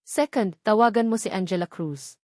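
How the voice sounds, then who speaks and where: A woman voicing a male politician who is calm, firm and commanding, in a narrated love story. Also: medium pitch, moderate pace, no room sound, clean studio sound, natural voice. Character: calm, steely male politician with quiet authority.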